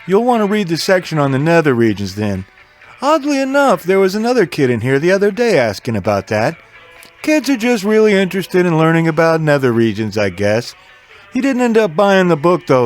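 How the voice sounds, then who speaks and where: Friendly male game-store owner played by an actor, high register, very animated, audio drama. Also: moderate pace, faint music behind. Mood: friendly, chatty. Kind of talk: audio drama character dialogue.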